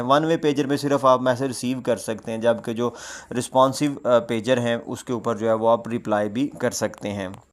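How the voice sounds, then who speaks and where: A man presenting informal, explanatory news commentary, often pausing mid-sentence and leaning on repeated filler phrases. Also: medium pitch, a little mellow. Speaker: presenter of a world news roundup.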